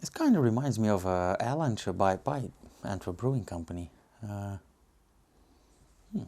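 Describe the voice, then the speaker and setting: A man with a Belgian accent giving casual, unscripted tasting commentary as a reviewer, pausing to muse and search for words. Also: on the low side, lively intonation, mellow. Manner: hesitant, musing, searching for words. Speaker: beer reviewer and host.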